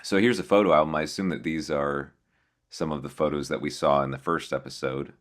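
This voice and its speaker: Very deep-voiced man reacting casually. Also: fairly even intonation, a little fast. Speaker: host reacting to horror videos.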